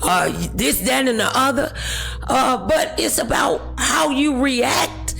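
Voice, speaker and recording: A woman's voice: sits high, expressive, takes it slowly, vocal fry, a bit bright, soft background music. A woman with an American accent hosting a motivational talk show, speaking in an encouraging, preacher-like way.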